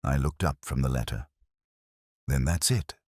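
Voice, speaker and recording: A man reading a Victorian detective story as a scripted audiobook narration, in a measured, literary and solemn manner. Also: rumbling low, a little mellow, breathy edge, no echo, clean studio sound.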